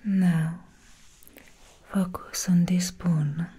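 A young woman plays a commanding female fairy assassin in an ASMR roleplay. She speaks in a breathy, very husky whisper, close to the mic. Each instruction comes extremely slowly and deliberately, with a teasing firmness. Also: on the low side, fairly even intonation, warm tone, full character voice. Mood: hypnotic, firm. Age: young adult. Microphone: close-up ASMR microphone.

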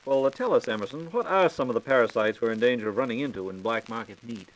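An American man interviews on a 1940s radio transcription disc. His medium-pitched voice moves quickly with animated intonation, feeding scripted questions conversationally. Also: low-quality recording. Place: radio studio.

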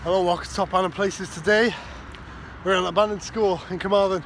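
A man's voice: sits high, steady tone, fast talker, loud music behind the voice. A man chatting casually and matily, with some swearing, as he hosts an exploring video on an action camera in an empty building. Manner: informal, matey, sweary. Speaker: urban exploration video host. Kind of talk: casual chat while exploring. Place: inside an empty derelict school. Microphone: action camera carried by him. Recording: very noisy action-camera audio.

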